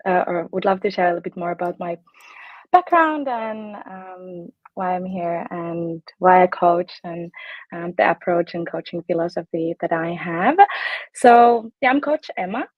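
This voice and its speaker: A woman's voice: lowish voice, lively intonation, normal speed, no echo, lo-fi sound. A woman in her late twenties from Finland with a Finnish accent, chatting casually as a guest fitness coach in a bubbly way with plenty of ums. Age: 20s. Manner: bubbly, frequent ums and laughs. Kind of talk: casual interview chat.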